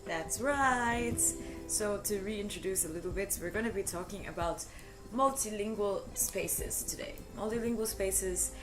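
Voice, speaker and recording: A woman co-hosting a live stream, explaining her ideas in a relaxed, thoughtful way with frequent fillers and easy laughs. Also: mid-range voice, unhurried, few pauses. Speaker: co-host of a language stream. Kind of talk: casual discussion on a live stream. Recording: music playing behind the talk.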